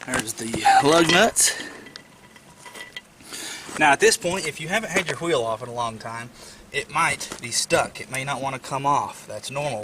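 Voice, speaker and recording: Guy with a stuffy nose walking thru a car repair, easygoing, lots of uhs, little bit of background hiss. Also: mid-range voice. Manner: plainspoken, explains steps patiently with frequent "uh" fillers. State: slightly congested from a cold. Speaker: DIY car repair presenter. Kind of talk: casual how-to walkthrough.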